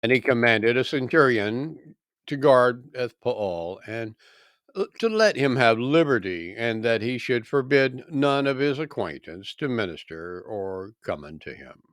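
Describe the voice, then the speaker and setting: A man reads scripture in a solemn narrating style at a slow pace. His phrasing is measured and carries dramatic emphasis. The recording is dry, with no room sound, and his pitch sits in the middle for a man. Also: animated, fairly bright tone, no room sound. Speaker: scripture reader.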